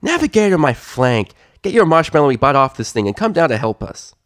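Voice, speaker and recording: A man voicing a brash, excitable young tomboy pegasus filly with cheeky slang in a cartoon story reading. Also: a little high, brisk, no echo, clean studio sound, voice pushed up. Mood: exasperated.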